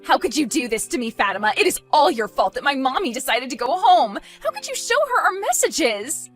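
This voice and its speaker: A woman's voice: sits high, lively intonation, fast, faint music behind. A woman playing a spoiled, self-centred young bride who whines and pleads indignantly in a scripted family drama. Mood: accusing. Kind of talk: scripted melodramatic story dialogue.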